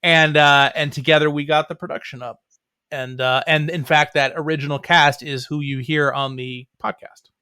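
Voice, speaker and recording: A man's voice: a little high, steady tone, normal speed, bright, forceful tone, smooth, no room sound. A middle-aged man chatting casually as an interview guest, with a wry, joking manner. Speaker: comics writer and playwright, interview guest.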